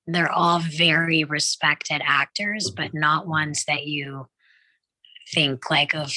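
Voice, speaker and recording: A woman with a low, bright voice talks casually in a group discussion. She takes her time, feeling her way through halting phrases padded with "like". The sound is close and dry. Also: steady tone, dry recording. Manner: halting, filler-heavy phrasing with frequent "like".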